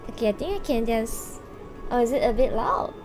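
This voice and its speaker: A woman's voice: a little high, lively intonation, normal speed, poor audio. A woman livestreamer chatting casually and playfully with viewers, using gamer slang and the odd swear word, often thinking aloud. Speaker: live game streamer. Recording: music behind the voice. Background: video game soundtrack.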